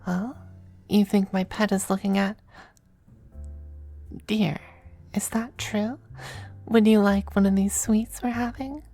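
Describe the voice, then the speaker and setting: A woman playing a possessive, doting, androgynous male demon lover in an intimate ASMR roleplay, speaking softly and often slipping into whispers. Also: mid-range voice, fairly even intonation. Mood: teasing, tender. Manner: soft, breathy, unhurried, often dropping to whispers. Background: faint music.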